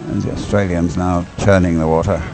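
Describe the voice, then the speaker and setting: A man's voice: low-pitched, steady tone, a little fast, a little mellow, soft background music. A man giving unscripted live sports commentary in a hesitant, rambling, stop-start way.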